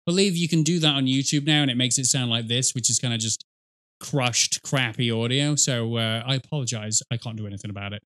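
A man's voice: medium pitch, fairly quick, a bit bright, slight background noise. A middle-aged man giving casual, sarcastic reaction commentary into a close mic as a video host. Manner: sarcastic, dry joking. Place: small indoor streaming room. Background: game cutscene music and sound.

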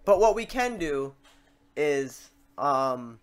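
A man hosting a software tutorial, talking casually and thinking aloud as he works through steps and mistakes. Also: on the high side, sing-song, slow pace. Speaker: tutorial host.

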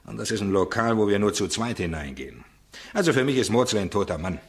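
An actor playing a blunt, condescending, self-assured police inspector in a German radio crime drama. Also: male voice, lowish voice, expressive, fast talker. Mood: dismissive, smug.

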